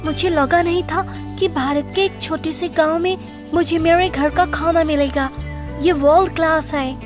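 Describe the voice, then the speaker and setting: A woman plays a wealthy young lady traveller in a cartoon story. Her voice is very high, gracious and delighted, with music clearly behind it. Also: steady tone, moderate pace. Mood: delighted, grateful. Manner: gracious, mixes in English exclamations.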